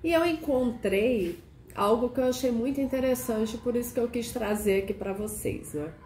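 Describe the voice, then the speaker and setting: A woman hosting a casual video chat. She sounds nasal and hoarse from a cold and keeps asking the viewer questions. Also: fairly high pitch, moderate pace, dark tone. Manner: chatty, asks the viewer many questions. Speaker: archetype consultant and channel host. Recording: echoey room with faint noise.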